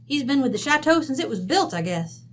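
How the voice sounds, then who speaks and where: A woman's voice: high voice, big pitch swings, a little fast. A woman playing a brash, folksy, middle-aged rancher widow with an American West accent, blunt, bossy and slangy, in an old-time radio drama. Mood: matter-of-fact.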